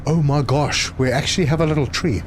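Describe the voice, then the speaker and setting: A man, live streaming a game and chatting with viewers, often pausing with fillers. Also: fairly high pitch, fairly even intonation, background noise. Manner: chatty, reads chat aloud, hesitant with fillers. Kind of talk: casual live stream commentary.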